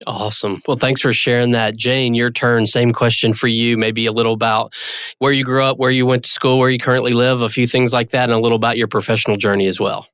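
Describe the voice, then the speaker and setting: A man's voice: medium pitch, little pitch movement, quick pace, fairly bright tone, clean tone, dry recording. Polished male podcast host, dry sound. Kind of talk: scripted podcast intro, then interviewing.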